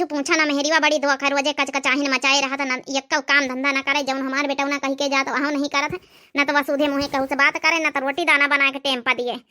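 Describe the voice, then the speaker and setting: A woman's voice: high voice, pushed and bright. A woman voicing an aggrieved older village mother-in-law in an Awadhi-accented cartoon comedy, rattling off complaints. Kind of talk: animated family comedy dialogue.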